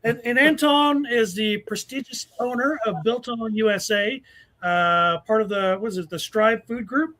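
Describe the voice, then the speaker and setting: A man's voice: sits high, normal speed, sharp tone, poor audio. A man hosting a live show, chatting casually and effusively as he introduces guests. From United States. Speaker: host of a preparedness show.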